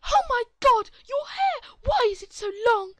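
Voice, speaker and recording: A woman voicing a shrill, hysterical entitled mother who shrieks and complains indignantly. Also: big pitch swings, measured pace, bright, forceful tone, no room sound, studio quality, full character voice. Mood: shrieking. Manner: shrieking, high-pitched, indignant.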